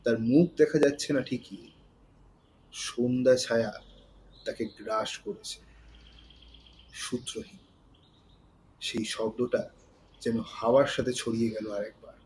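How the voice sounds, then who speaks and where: A man delivers scripted, dramatic narration for a thriller audio story. His pitch is medium. Faint atmospheric noise sits behind the voice. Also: steady tone, a little slow, long pauses, a little mellow, rough recording.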